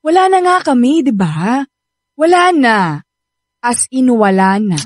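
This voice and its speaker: A woman's voice: fairly high pitch, sing-song, measured pace, no echo, studio quality. An actress playing a defensive young woman torn in love, with a Filipino accent, in a radio drama. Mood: upset, defensive. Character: young woman daughter torn between two loves, defensive.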